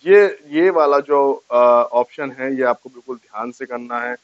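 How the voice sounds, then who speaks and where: A man's voice: mid-range voice, moderate pace, no room sound. Man, thirties, casual tutorial host, explanatory, repeats steps, dry close lapel sound, slightly muffled. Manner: explanatory, repeats each step. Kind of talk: casual step-by-step phone tutorial to camera. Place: small furnished room indoors, on a sofa. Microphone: lapel mic.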